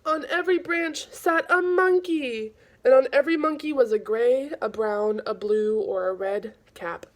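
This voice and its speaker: Woman, higher pitch, children's read-aloud, informal, expressive storytelling. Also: a little slow.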